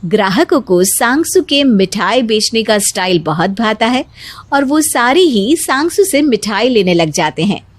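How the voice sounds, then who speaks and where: Slow, high-voiced woman storyteller. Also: expressive. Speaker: story narrator. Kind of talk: scripted narration of a moral story.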